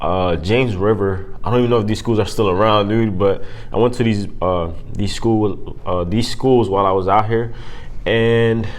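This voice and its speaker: Laid-back young man, low voice. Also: fairly even intonation, normal speed. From Puerto Rico. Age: young adult. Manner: laid-back, says "man" and "bro" often. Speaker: guest, business owner. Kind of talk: casual interview chat.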